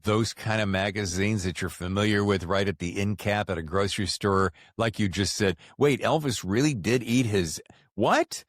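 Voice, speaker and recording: A man's voice: on the low side, moderate pace, dry recording, studio quality. A man hosting an interview podcast in a casual, colloquial, chatty way. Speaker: podcast host interviewing an author.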